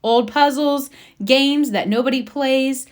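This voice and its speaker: A woman's voice: sits high, slow pace, voice pushed up. A woman voicing a firm, practical mother bear from a children's storybook, speaking emphatically. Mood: listing, exasperated.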